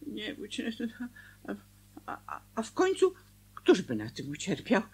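An actress playing a fretful, worried wife in a radio play, speaking in a hesitant, emotional conversational way. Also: female voice, medium pitch, huge pitch swings, a little slow, slightly rough, exaggerated voice. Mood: anguished temptation. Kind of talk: Polish radio play acting.

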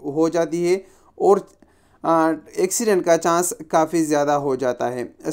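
A male presenter with a smooth, clear and slightly raised voice explains a traffic topic in measured voice-over. He talks steadily, now and then pausing to restart a word. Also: a little high. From South Asia. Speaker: news channel host.

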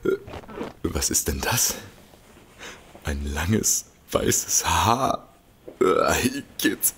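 An actor playing a nervous, fretful amateur detective in an audio drama, hesitant, flustered and muttering to himself. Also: male voice, deep voice, big pitch swings, drawn-out words, fairly bright tone, slightly rough, full character voice. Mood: musing. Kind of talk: German audio drama acting.

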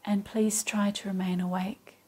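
A woman with a soft, breathy voice leading a guided meditation in a calm, measured way with long pauses. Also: mid-range voice. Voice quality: soft, breathy, partly whispery. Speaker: yoga nidra meditation guide. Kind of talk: guided meditation, slow and soothing instruction.

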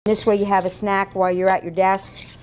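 A woman's voice: little pitch movement, fairly quick, darkish tone. A middle-aged woman giving a casual, plain-spoken cooking demonstration as an instructor in an indoor kitchen. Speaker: cooking demonstrator, food and beverage director. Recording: low rumble, faint room noise.

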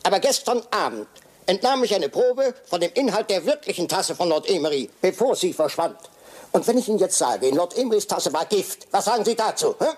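An actor playing a courtly, shrewd private detective in a German radio crime drama, theatrical and probing. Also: male voice, high voice, lively intonation, brisk, a little mellow.

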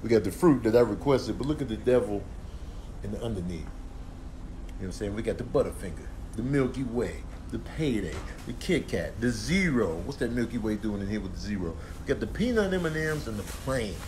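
A man's voice: fairly low pitch, lively intonation, a little fast, noisy background. A man giving slangy, repetitive comic commentary over short clips. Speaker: comedian doing voiceovers.

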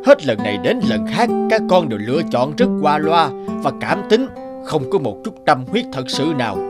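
A man voicing an old, stern but fair fairy-tale king, measured and authoritative. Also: fairly high pitch, sing-song, over loud music. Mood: reproachful. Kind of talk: cartoon fairy-tale dubbing.

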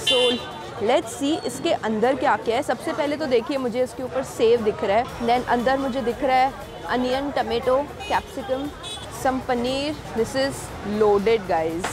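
A young woman, reviewing street food in a casual vlog, chatting while she eats, recorded on a lapel mic in busy food shops. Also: on the high side. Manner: chatty, mixing Hindi and English, tasting on camera. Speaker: food vlogger.

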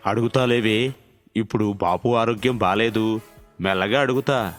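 A man voicing a self-interested, dismissive city-dwelling elder son in a story, curt and low-key. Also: normal speed, lightly acted. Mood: placating. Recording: music under the voice.

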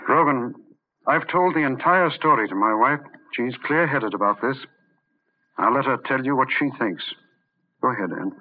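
A man's voice: mid-range voice, expressive, normal speed, natural voice. An actor playing an earnest, insistent male doctor in an old-time radio drama. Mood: grave. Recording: tinny, narrow vintage radio recording.

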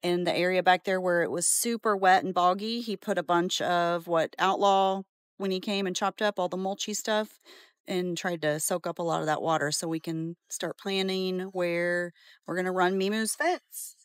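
A woman's voice: fairly low pitch, steady tone, moderate pace, no echo, studio quality. A woman in her thirties talking casually to her viewers about farm chores while riding outdoors, filming on a phone at arm's length. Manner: chatty, explaining chores to viewers. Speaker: homestead vlogger. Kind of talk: casual vlog narration. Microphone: phone held at arm's length.

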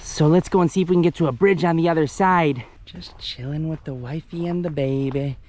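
A man's voice: on the high side, fairly even intonation, fairly quick, darkish tone, a little hiss or hum. A young man filming a family walk on an outdoor trail, chatting cheerfully to the camera through an action-camera mic. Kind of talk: casual chat. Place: outdoor wooded nature trail.

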